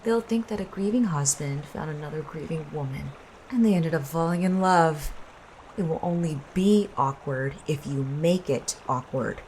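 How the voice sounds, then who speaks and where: A woman acts out a cold, murderous female schemer in an audio drama. Her very low voice stays calm and commanding as she persuades. Faint watery noise sits behind it. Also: normal speed, close to an everyday voice, low-quality recording. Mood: coaxing.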